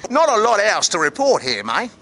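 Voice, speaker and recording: A man playing a brash, excitable, matey Australian actor with an Australian accent and plenty of slang, in a vlog monologue. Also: high voice, moderate pace, gravelly, slight background noise, heavily acted.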